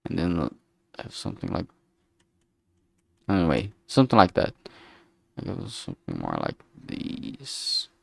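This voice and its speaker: A man streaming as he works, thinking aloud casually in a halting way and muttering to himself. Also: low-pitched, wildly swooping pitch, gravelly, dry recording. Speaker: streamer making a visual novel. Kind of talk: casual think-aloud art livestream commentary.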